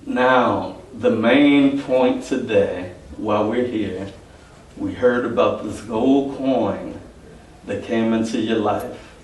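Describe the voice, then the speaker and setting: A man hosting a church testimony interview, speaking in a plain, deliberate and earnest way. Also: on the low side, slight background noise. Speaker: interviewer and host. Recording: roomy, echoey, distant mic.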